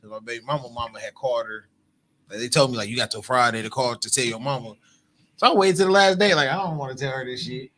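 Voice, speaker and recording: Quick, bright man telling stories. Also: mid-range voice, a little hiss or hum. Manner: profane storytelling. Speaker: podcast guest. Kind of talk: casual podcast chat.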